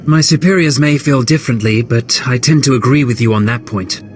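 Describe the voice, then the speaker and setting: An actor playing a businesslike male Imperial functionary in a video game, brisk and officious. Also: medium pitch, soft background music, close to an everyday voice. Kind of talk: game cutscene dialogue acting.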